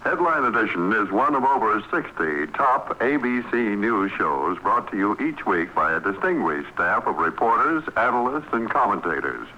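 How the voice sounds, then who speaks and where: A man's voice: lowish voice, very animated, moderate pace, hardly any pauses, sharp tone, slight background noise. A man reading a scripted network promo in a polished, formal announcing manner. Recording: dull lo-fi old radio broadcast.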